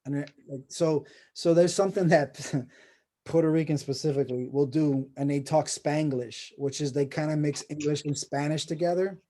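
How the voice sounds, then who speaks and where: A man's voice: fairly high pitch, fairly even intonation, normal speed, warm tone. A man hosting a casual video call session, rambling and encouraging with many restarts. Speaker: host of a personal branding course.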